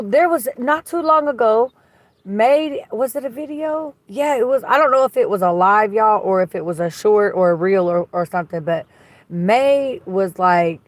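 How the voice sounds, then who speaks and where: Blunt, slightly raspy woman on a livestream. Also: a little high, no echo. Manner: blunt, profane, gossipy. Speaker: livestream host. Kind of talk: casual livestream chat, reading viewer comments.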